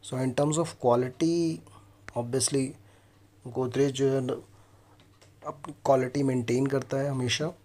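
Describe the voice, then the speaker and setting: An Indian man in his thirties hosts a property tour video. He explains features directly to the viewer in the manner of a consultant, with a medium-pitched voice. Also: steady tone, slow pace, long pauses, darkish tone. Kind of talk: property walkthrough presentation mixing Hindi and English.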